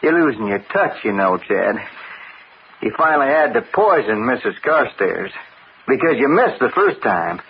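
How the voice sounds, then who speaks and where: Radio drama man facing down a killer, knowing and dramatic, mid-pitched, on a muffled, noisy old broadcast. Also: sing-song, normal speed. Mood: accusing, taunting. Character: knowing man confronting a murderous villain, taunting then gentle.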